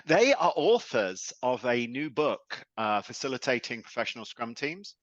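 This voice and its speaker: A man hosting a podcast interview in a relaxed, chatty way, with plenty of fillers. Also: mid-range voice, moderate pace, no room sound, highs cut off. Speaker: podcast host. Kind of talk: casual interview chat.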